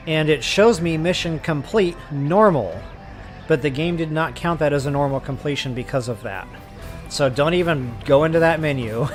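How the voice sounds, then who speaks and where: A man talking casually to his viewers as a game livestreamer, chatty and informal. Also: a little high. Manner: chatty, addresses viewers as guys and y'all. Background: game music.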